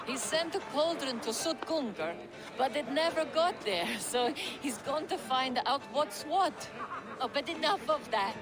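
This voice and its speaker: An actress playing a hospitable, playful giantess hostess in a Norse video game, stately, like a storyteller. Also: female voice, high voice. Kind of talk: video game cutscene dialogue. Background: music.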